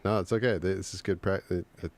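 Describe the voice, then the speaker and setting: A man's voice: low-pitched, fast talker. A man from California with a Californian accent, a musician chatting casually and playfully while mixing on a live stream. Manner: relaxed, playful, joking.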